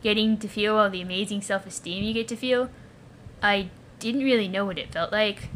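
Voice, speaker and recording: A woman's voice: medium pitch, fairly even intonation, normal speed, fairly bright tone, a little hiss or hum, voice pushed down, lightly acted, rough recording. Woman voicing a meek, hesitant young ghost boy. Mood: shy gratitude. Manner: tentative, mild, trailing off.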